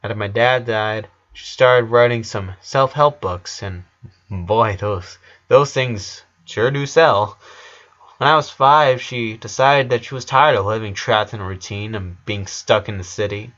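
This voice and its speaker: A man's voice: on the low side, unhurried, a bit bright, highs cut off. A man voicing a weary, friendly fourteen-year-old boy in an audiobook story, chatty and hesitant with a wistful manner.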